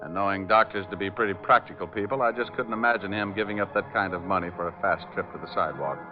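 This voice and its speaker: An actor playing a cool, mercenary private detective in 1940s radio style, dry, laconic and wisecracking. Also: male voice, low voice, fast talker, close to an everyday voice. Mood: wry narrating. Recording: dull vintage radio recording with music.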